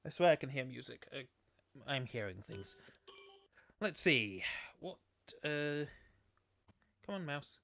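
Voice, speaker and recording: Guy calling a sim race on stream, bright voice, kinda high, dry mic, losing his voice. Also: expressive, slow, vocal fry, long pauses, no room sound. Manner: chatty, rambling with fillers. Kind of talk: live sim racing commentary. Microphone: desktop microphone.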